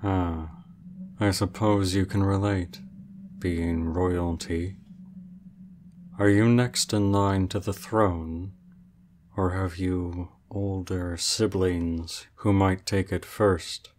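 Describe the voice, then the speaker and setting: A man voicing an ancient, aloof male dragon in human form, speaking in a formal, measured and reserved way for an ASMR roleplay. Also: deep voice, fairly even intonation, a little mellow, a little hiss or hum. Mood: calm, aloof.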